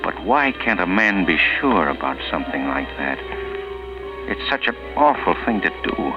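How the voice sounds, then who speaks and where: Jittery low-voiced guilty man. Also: very animated. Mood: anxious, self-deluding. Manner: agonized, halting, stammering monologue. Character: guilt-ridden jittery male murderer talking to himself. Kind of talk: 1940s radio mystery acting. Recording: muffled 1940s radio recording, loud music behind.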